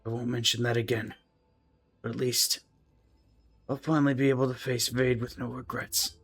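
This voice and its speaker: Earnest, grave game soldier, read aloud by a man, measured delivery, mid pitch. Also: level tone, breathy edge, faint music behind. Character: earnest soldiers speaking gravely over a wounded captain. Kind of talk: reading game story dialogue aloud.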